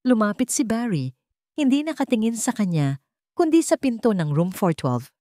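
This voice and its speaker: A woman's voice: on the high side, very animated, moderate pace, warm tone, dry recording, clean studio sound. A woman reading scripted dramatic narration of a romance story.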